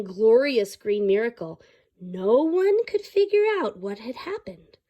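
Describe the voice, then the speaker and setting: Woman, fairly high pitch, children's read-aloud, expressive storytelling, teacherly asides and questions. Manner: expressive, teacherly, pausing to explain and ask questions. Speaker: storyteller reading a picture book aloud to children.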